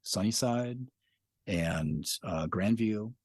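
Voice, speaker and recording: Man, middle-aged, interview guest, thoughtful, hesitant, very deep voice. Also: slow, a little mellow, dry recording, clean studio sound. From Washington State. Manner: thoughtful, hesitant, many fillers and restarts. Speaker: podcast creator guest. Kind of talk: casual interview chat.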